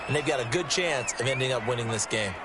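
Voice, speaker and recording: A man voicing a football color analyst in a video game, breaking down plays in a measured way. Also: mid-range voice, a loud crowd, very noisy, lightly acted. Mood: analyzing. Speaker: color commentator. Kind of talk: scripted sports game analysis. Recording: game audio over loud music.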